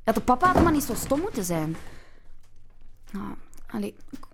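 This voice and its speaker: A woman's voice: on the high side, very animated, moderate pace, noisy background. An actress with a Flemish accent playing an exasperated woman in a breakup who dotes on her dog, in a naturalistic comedy radio play. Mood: coaxing, tender.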